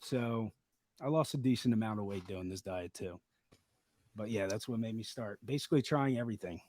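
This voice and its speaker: A man with a slightly raspy voice, a podcast guest rambling through a personal story in casual chat with lots of fillers. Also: fairly low pitch, brisk, a little mellow. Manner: rambling, laid-back storytelling with many fillers.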